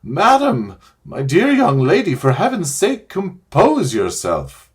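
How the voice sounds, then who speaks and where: A man voicing a fussy, cowardly old gentleman who pleads and whines in a high, trembling voice, in a storybook reading. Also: huge pitch swings, takes it slowly, slightly rough, heavily acted. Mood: panicked pleading.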